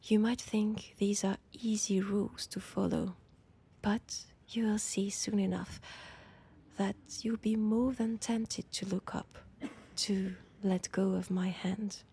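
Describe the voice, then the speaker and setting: A woman with a French accent playing an eerie, seductive guide of a magic library in a breathy, half-whispered ASMR roleplay. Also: medium pitch, fairly even intonation. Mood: hushed warning. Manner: slow, deliberate, hushed. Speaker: roleplay voice actor. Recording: roomy long reverb, faint background noise.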